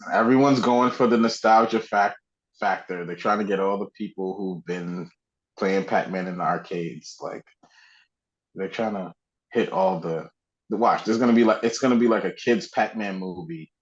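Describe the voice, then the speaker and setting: A man's voice: sits low, normal speed, dull audio. A man co-hosting a podcast in casual, slangy chat, freely giving his opinions. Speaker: podcast co-host.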